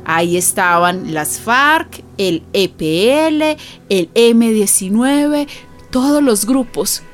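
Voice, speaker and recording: A woman playing a neighbour who narrates a radio drama in an unhurried, confiding storytelling manner. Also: on the high side, expressive, soft background music.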